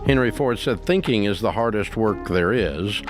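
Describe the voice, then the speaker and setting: A man's voice: on the low side, animated, moderate pace, music in the background. A middle-aged man hosting a call-in advice show in a folksy, upbeat, emphatic way. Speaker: radio show host. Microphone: studio microphone.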